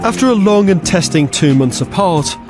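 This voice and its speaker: A man's voice: sits high, animated, normal speed. A man narrating a television documentary as its presenter, reading from a script. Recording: music behind the voice.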